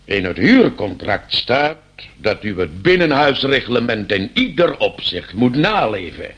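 An actor playing a stern, condescending landlord in a radio play, lecturing with pompous mock courtesy. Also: male voice, medium pitch, big pitch swings, a little slow, fairly bright tone, breathy edge, highs cut off, heavily acted. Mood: stern, indignant. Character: stern, condescending landlord scolding his eccentric tenant.

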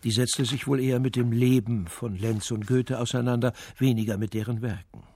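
A man's voice: medium pitch, fairly even intonation, fairly quick, dark tone, husky. A male actor playing the sober, matter-of-fact narrator of a detective story in a scripted radio play.

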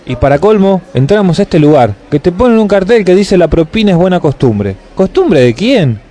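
A man acting as a grumpy, stingy Buenos Aires wheeler-dealer in a comic radio play. He speaks in a raised, mellow voice, full of self-righteous bluster and indignant self-justification. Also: high-pitched, sing-song, moderate pace, voice pushed up. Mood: indignant, griping. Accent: Buenos Aires Argentine. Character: grumpy stingy scheming middle-class businessman, self-righteous.

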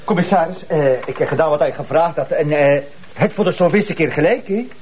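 A Flemish man playing a fussy, excitable police detective with a husky voice and a complaining manner in a TV crime drama. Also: a little high, a little fast, background noise, natural voice. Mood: exasperated. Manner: complaining, exasperated. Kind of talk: naturalistic TV crime drama acting.

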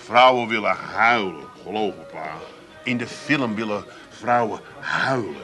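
An actor playing an exasperated, worldly-wise Amsterdam son in a comic radio play, talking with dry incredulity. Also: male voice, lowish voice, expressive, measured pace, sharp tone, faint music behind. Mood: lecturing.

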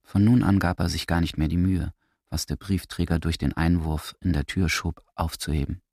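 Male narrator, very low creaky voice, dramatic literary reading, noisy recording. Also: steady tone, normal speed, a little mellow, no echo, studio quality. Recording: clearly noisy recording with clicks.

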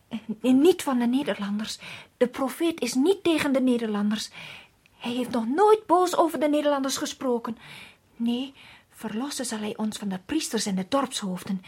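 Shy servant girl in a radio play, really high breathy voice, hesitant and humble. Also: exaggerated voice. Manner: hesitant, deferential. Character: timid, deferential native maidservant from a village. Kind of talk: radio drama acting.

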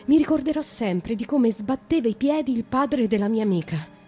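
An actress playing a young, tormented noblewoman who slowly and intimately confesses her past to a nun in a radio monologue. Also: fairly high pitch, expressive, warm tone, husky, faint music behind. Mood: grave, confessional. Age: young adult.

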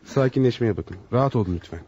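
An actor playing a composed, courteous doctor who speaks formally and soothingly in an old radio play. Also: male voice, medium pitch, expressive, fast talker, warm tone, slight background noise, natural voice. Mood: reassuring. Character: composed, reassuring male doctor, courteous with patients. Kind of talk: old radio theater acting. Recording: dull, lo-fi old radio drama.

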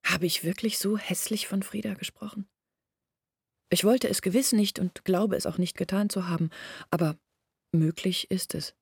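An actress playing an earnest, reflective village woman in a German literary radio drama, speaking in measured formal phrases. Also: mid-range voice, vocal fry, darkish tone, slightly rough, no room sound, studio quality. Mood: apologetic.

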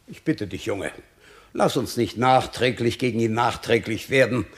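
An older man playing a proud, simple, kindly old shepherd with a husky voice in a comic radio play. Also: medium pitch, moderate pace, lightly acted. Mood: proud, good-natured.

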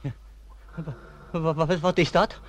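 Man playing a jittery, high-voiced villager. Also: steady tone, a little slow, lightly acted. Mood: nervous. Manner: stammering, nervous. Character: jittery, stammering village man on a lion hunt. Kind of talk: radio play acting.

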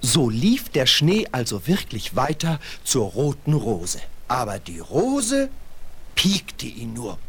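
An actor playing a naive, earnest young snowman in a fairy-tale radio play, speaking with polite wonder and expressive phrasing. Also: medium pitch, slow pace, husky, full character voice. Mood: courteous, storytelling. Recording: faint hiss of vinyl transfer.

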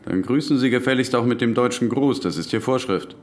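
An actor playing a hostile, officious police official of the Nazi era in a radio drama, brusque, pedantic and interrogating. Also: male voice, medium pitch, a little fast, lightly acted. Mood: curt suspicion. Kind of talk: radio play acting.

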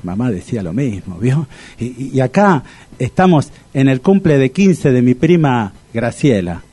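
An actor playing a bumbling, naive older bachelor in a radio comedy, stammering and repeating himself in a Rioplatense Argentine accent. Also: male voice, mid-range voice, very animated, normal speed, mellow, husky. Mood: eager, proud. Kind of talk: radio comedy sketch acting.

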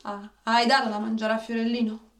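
A woman's voice: fairly high pitch, flat delivery, fairly quick, fairly bright tone, far from the mic. An actress playing a bossy, irritable woman who feeds stray cats in a comic radio play, snappy and scolding. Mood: scornful scolding. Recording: roomy, noisy, off-mic radio play.

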